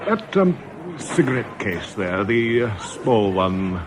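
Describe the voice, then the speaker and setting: An actor in a radio drama playing a flustered, indignant shopper who blusters hesitantly and impatiently. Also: male voice, lowish voice, sing-song, slow, background noise. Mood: impatient, nervous. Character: flustered, indignant male shopper under suspicion of fraud.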